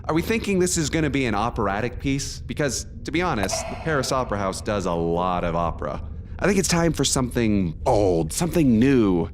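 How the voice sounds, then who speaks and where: A man's voice: mid-range voice, sing-song, a little fast, soft background music, close to an everyday voice. A man playing an eager, businesslike young opera heroine with an earnest, theatrical delivery in an audio comedy sketch. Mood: brisk.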